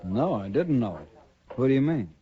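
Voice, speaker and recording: An actor playing a smooth, polite cattleman in a 1950s radio western. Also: mid-range voice, big pitch swings, normal speed. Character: smooth-spoken cattleman brother, polite and guarded. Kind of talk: 1950s radio drama acting. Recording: muffled dry old radio broadcast.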